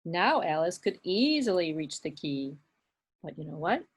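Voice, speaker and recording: Animated, breathy storyteller woman. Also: lowish voice. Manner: animated, slow and expressive storytelling. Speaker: children's librarian, puppeteer. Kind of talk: storytelling puppet show for kids.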